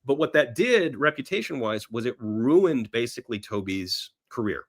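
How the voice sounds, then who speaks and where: A man's voice: mid-range voice, big pitch swings, normal speed. Emphatic male film storyteller. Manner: emphatic storyteller, often voicing quotes. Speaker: podcast guest, film industry veteran. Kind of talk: casual anecdotal film-history conversation.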